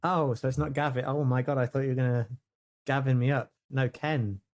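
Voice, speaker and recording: A woman chatting casually in character as a player in a tabletop game, in hesitant, halting phrases. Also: extremely low pitch, fairly even intonation, darkish tone. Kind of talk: casual in-character improvised chat.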